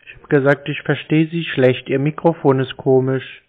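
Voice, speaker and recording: A man in his twenties on a phone call, speaking in a terse, deadpan, deliberately obtuse way. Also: mid-range voice. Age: 20s. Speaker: scambaiter taking scam calls. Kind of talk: prank phone conversation. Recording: narrow-band, dull phone-call audio.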